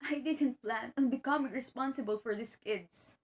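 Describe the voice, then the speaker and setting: A high female voice from a woman acting plays a devoted teacher and wife who is hurting. The delivery is earnest and pleading, in the manner of an amateur one-act drama. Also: moderate pace, lightly acted. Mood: hurt, confused.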